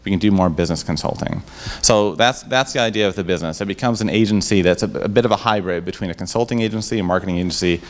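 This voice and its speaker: A man pitching his startup to a live audience and then answering their questions on a handheld mic. He talks with upbeat enthusiasm and often restarts his sentences. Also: fast talker. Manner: enthusiastic, upbeat salesman patter with frequent restarts. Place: event room before a live audience. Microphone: handheld microphone.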